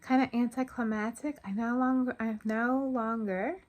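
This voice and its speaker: A woman's voice: a little high, steady tone, normal speed, darkish tone. An American woman chatting casually to camera as a craft vlogger, informal and thinking aloud as she goes.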